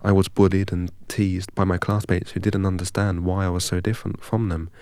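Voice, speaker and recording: Guy from england, really low and steady voice, barely moves in pitch, dry studio sound. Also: dry recording. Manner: measured and deliberate, recites long strings of numbers evenly. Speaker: interview guest. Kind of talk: reflective storytelling in an interview.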